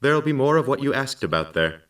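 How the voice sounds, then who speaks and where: An actor playing a formal, deadpan male computer companion on a space station, in a science fiction audio drama. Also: mid-range voice, fast, recorded from a distance.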